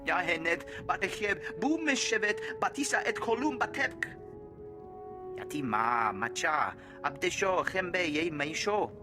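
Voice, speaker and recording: A man's voice: high-pitched, animated, a little slow, over music. A man playing an emphatic alien commander in a video game, speaking expressively in an invented language. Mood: earnest.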